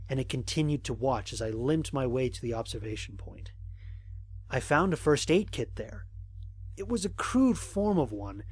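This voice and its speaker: A man playing a curious, methodical space explorer in an audio drama, narrating his mission log in a measured, formal way. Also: medium pitch, slightly rough, no room sound.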